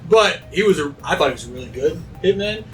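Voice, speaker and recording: A man in relaxed, casual conversation, speaking quickly and acting out little imagined quotes as he goes. He sits some distance from the microphone, and music plays clearly behind him. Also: fairly high pitch. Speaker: panelist. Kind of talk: casual chat.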